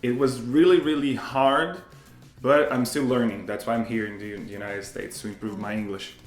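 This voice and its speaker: A man's voice: mid-range voice, fairly even intonation, normal speed, echoey room, faint music behind. A man from Brazil with a Brazilian accent, a native Portuguese speaker and English teacher, talking emphatically as a guest in a casual interview.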